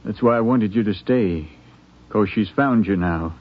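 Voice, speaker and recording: An actor playing a friendly, plain-spoken frontier townsman in a 1950s radio drama, folksy and gently persuasive. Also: on the low side, measured pace. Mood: coaxing, concerned. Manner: folksy, hesitant, coaxing. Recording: thin, phone-like 1950s radio broadcast.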